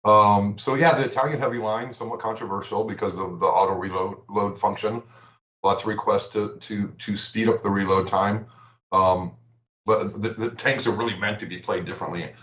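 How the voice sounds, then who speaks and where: A low-voiced man talks in halting bursts, repeating words before he finds his point, then reads out numbers steadily. He sounds like he is in an echoey room. The audio is dull and lo-fi. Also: fairly even intonation, a bit bright. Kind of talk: casual livestream chat.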